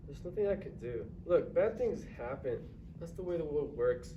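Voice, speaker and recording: A man's voice: on the high side, expressive, moderate pace, muffled recording, close to an everyday voice. Distant echoey student playing a bitter man. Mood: defensive. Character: bitter, closed-off, self-centred man haunted by sad Christmases. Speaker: drama student. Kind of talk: staged reader's theatre performance. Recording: distant echoey noisy live stage recording.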